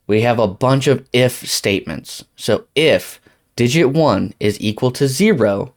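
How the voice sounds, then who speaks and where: A man hosting a casual software tutorial, informally thinking aloud as he talks himself through each step. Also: medium pitch. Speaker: tutorial host.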